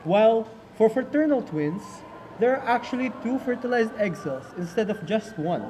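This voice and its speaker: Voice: mid-range voice, animated, normal speed, crowd noise, low-quality recording. A presenter explaining things in simple terms, in scripted educational puppet show dialogue for children. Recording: faint background noise.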